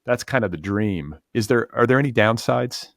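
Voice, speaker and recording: A man's voice: mid-range voice, expressive, normal speed, vocal fry, a bit bright, no room sound, studio quality. A man hosting a long-form interview podcast, conversational, musing his way tentatively into questions.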